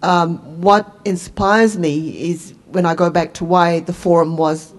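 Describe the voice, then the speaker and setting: A middle-aged woman with a New Zealand accent and a fairly low voice speaks on a conference panel. She is from Fiji and talks in a relaxed, self-deprecating way, into a handheld microphone in a meeting room. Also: unhurried. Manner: self-deprecating, laughs easily. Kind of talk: conversational panel talk.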